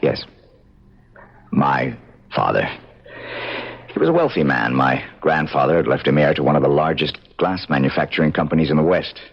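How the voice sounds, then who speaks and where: Deep male voice, haunted bitter man, brooding dramatic radio delivery with heavy pauses, swinging intonation, dull vintage broadcast sound. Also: sing-song, husky, full character voice. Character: haunted, bitter man traumatised by his parents' past. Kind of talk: old-time radio drama acting.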